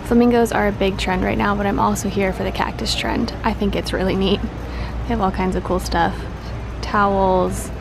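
A woman's voice: medium pitch, fairly even intonation, moderate pace, vocal fry, background noise. A young woman chatting casually as she films herself shopping in a large craft store, gushing over the items and thinking aloud. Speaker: vlogger. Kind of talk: casual shopping vlog commentary. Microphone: handheld camera.

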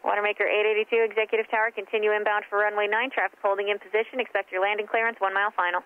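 A tower controller rattles off clipped instructions in a fast, flat delivery. The voice comes over aviation radio, a little dull and noisy. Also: mid-range voice.

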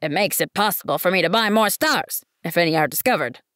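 A woman voicing a pompous, peevish middle-aged businessman who insists on matters of consequence, speaking loudly and firmly. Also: high-pitched, fairly quick, no room sound, studio quality.

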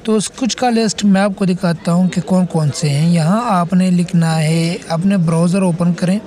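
High-pitched man giving a mellow tech tutorial. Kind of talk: casual spoken step-by-step phone repair tutorial.

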